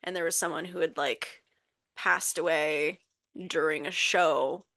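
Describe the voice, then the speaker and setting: Bright-voiced woman, rambling podcast chat. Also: deep voice, steady tone, measured pace. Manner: rambling, loses her words. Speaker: podcast co-host. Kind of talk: casual chat.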